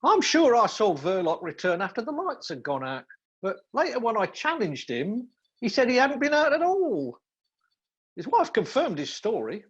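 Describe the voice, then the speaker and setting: An actor in a live radio play, playing a cheeky, persistent young undercover detective with a breezy, theatrical manner. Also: male voice, very high voice, wildly swooping pitch, normal speed, a bit bright, husky, muffled recording, heavily acted. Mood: earnest.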